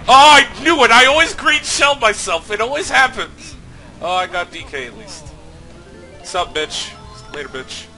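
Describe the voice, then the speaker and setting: A man commentating his own racing game playthrough, slightly sick and nasal, swearing often with sudden loud outbursts. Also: extremely high pitch, animated, moderate pace, faint music behind. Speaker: let's play host. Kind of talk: casual gameplay commentary.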